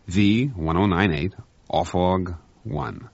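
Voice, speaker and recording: A man's voice: low-pitched, takes it slowly, gravelly, no echo, lo-fi sound, exaggerated voice. A man voicing a harried spaceship officer doing inventory, insistent and by-the-book.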